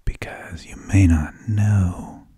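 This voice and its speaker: A man hosting ASMR, speaking in a husky, breathy whisper with halting phrases and long pauses. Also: deep voice, steady tone, warm tone, no room sound. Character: mild, tedious energy vampire who lulls people to sleep. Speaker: ASMR host.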